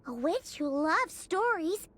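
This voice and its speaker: A woman voicing a tiny, childlike sprite companion in an anime-style game, excitable and curious, with a squeaky voice. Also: takes it slowly, a little hiss or hum, heavily acted. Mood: curious, musing.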